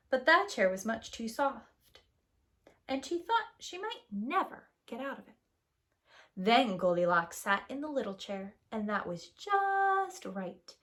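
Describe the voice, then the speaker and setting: A woman reading a storybook aloud to young children as a preschool teacher, with expressive, emphatic storytelling. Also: a little high.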